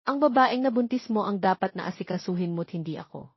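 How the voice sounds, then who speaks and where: A woman's voice: mid-range voice, animated, fast, breathy edge, dry recording, lo-fi sound. A woman narrator voicing a fierce, proud young woman scolding her cheating ex in a dramatic audio story. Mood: angry, defiant. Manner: sharp, defiant, scolding.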